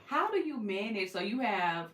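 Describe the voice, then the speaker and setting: A woman's voice: medium pitch, very animated, normal speed, recorded from a distance, a crowd in the background. A woman hosting a radio interview podcast, upbeat and encouraging, in a studio. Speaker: podcast host. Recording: roomy, off-mic with faint ambience.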